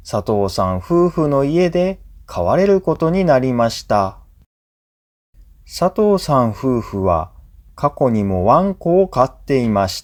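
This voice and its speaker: A man's voice: medium pitch, darkish tone. A man reads a scripted story for language learners as a teacher, enunciating carefully in short, separated phrases. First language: Japanese.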